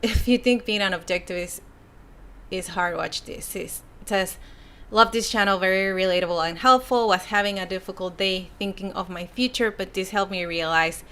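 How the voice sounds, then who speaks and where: A young woman from Mexico with a Mexican Spanish accent, her first language being Spanish, reads viewer comments on a casual live stream. Her voice is bright and clear. She chats loosely, sighing and laughing between thoughts. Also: medium pitch, normal speed. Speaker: YouTuber reading viewer comments.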